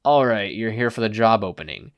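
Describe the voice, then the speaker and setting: A man's voice: lowish voice, lively intonation, a little fast, dry recording, clean studio sound. A man voicing a brusque, businesslike male crime boss with a curt, commanding manner. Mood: cool, commanding.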